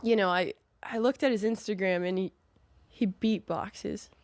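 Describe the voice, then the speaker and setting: A woman's voice: mid-range voice, steady tone, moderate pace, a little mellow, no echo. A woman telling a casual anecdote in a chatty way. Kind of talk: casual storytelling chat.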